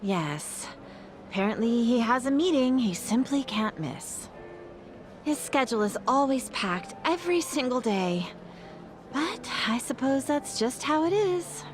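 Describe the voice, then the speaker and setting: High-voiced, expressive, chatty guest. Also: female voice, unhurried, noisy background. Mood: helpful, musing. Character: helpful, starstruck woman party guest. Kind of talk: video game voice acting.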